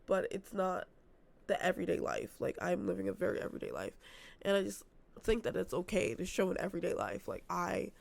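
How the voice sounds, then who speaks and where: A young woman vlogging from her apartment, losing her voice and hoarse, rambling casually with lots of fillers. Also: on the low side, very animated, brisk, darkish tone. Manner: rambling, filler-heavy, self-described yappy. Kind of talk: casual unscripted vlog chat.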